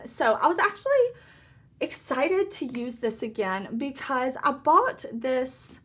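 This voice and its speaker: Hoarse, chatty woman in her thirties. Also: high-pitched, a bit bright. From Southern United States. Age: 30s. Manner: chatty and upbeat, laughs often. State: slightly hoarse. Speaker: beauty vlogger. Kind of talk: casual get-ready-with-me makeup chat.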